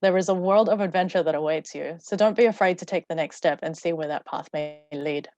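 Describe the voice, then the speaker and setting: A woman's voice: lowish voice, fairly quick, a little mellow, dry recording, highs cut off. A woman giving a fluent, prepared talk in a webinar over a video call. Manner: fluent, rehearsed. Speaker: webinar speaker.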